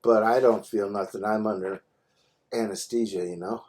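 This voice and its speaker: A man's voice: fairly low pitch, steady tone, moderate pace, a little mellow. An older man chatting casually on the phone, telling anecdotes in a blunt, salty way with chuckles. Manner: blunt, salty, chuckles through his stories. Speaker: phone call participant recounting his medical history. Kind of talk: casual chat, anecdotal storytelling. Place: phone conversation.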